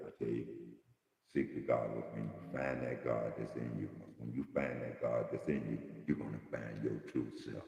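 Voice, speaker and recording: Very deep gravelly man preaching, echoey room. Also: warm tone, highs cut off. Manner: preachy, builds to impassioned emphasis. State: losing his voice. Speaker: host of a livestream talk. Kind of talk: casual spiritual conversation.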